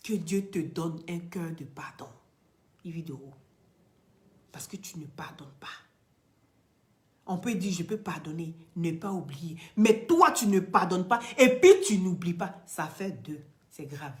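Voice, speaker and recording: A woman from Ivory Coast with an Ivorian French accent, giving impassioned commentary straight to the camera, preachy and emphatic, swinging from loud peaks to quiet, near-whispered asides. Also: on the low side, animated, measured pace. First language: Dioula or another Ivorian language. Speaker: social media commentator.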